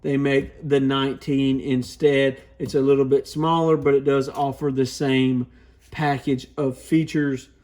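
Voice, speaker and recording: A man's voice: medium pitch, little pitch movement, measured pace, a little mellow. A man hosting a video, giving a casual, informal product overview and explaining things as he goes. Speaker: host of a CB radio channel.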